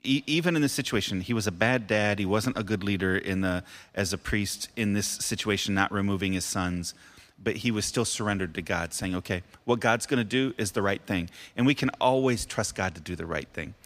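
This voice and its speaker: A man's voice: fairly low pitch, brisk, a bit bright, in a large hall. A man, a teaching pastor co-hosting a devotional podcast, explaining a Bible passage in plain, casual words. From United States. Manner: explaining, plain-spoken, laughs often.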